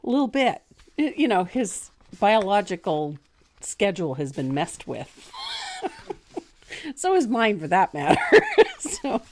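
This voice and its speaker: A woman in her sixties who chats through a painting lesson with a lively, swooping voice. She laughs often and pokes fun at herself in a relaxed, easygoing way. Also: mid-range voice, slow pace, pauses often, a little hiss or hum. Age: 60s. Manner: chatty, laughs often, self-deprecating. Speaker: decorative painting teacher. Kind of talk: casual livestream painting lesson.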